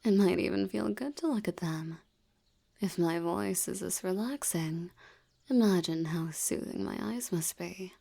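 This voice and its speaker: A woman's voice: fairly low pitch, a little slow, vocal fry, mellow. A woman voicing a seductive, teasing female vampire in an ASMR audio roleplay, often dropping into a whisper. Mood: hypnotic, seductive.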